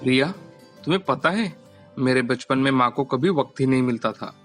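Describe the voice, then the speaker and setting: A man's voice: mid-range voice, normal speed, a bit bright, natural voice. A man voicing an earnest, stubborn young man, a pampered lovestruck son in a cartoon story. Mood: smitten. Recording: music bed behind the voice.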